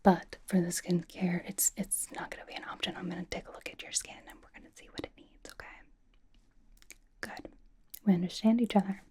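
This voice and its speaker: A very breathy, hushed whisper from a blunt young pop star played by a woman who is secretly a cozy homebody. The tone is soft and mellow, with a deadpan, casual, halting delivery. She dotes on the listener in an ASMR roleplay. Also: on the low side. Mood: matter-of-fact, bossy.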